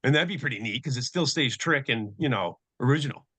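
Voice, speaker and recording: A man with a middling-pitched voice talks casually in a group call. He is hesitant and roundabout, often reworking what he means, and the sound is dry. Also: animated, fairly quick, bright, forceful tone, breathy edge, no echo. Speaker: participant in a club technical call. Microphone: conference call.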